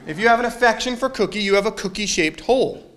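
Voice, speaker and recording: A man's voice: sits high, animated, quick pace, fairly bright tone. A man giving a casual sermon to a congregation, joking and quizzing his listeners. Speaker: minister.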